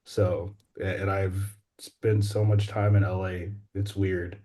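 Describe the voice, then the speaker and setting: Guy with a deep-ish warm dark voice just chatting on a podcast. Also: level tone, slow pace. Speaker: voice actor on a podcast panel. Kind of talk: casual chat. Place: library, on a remote call.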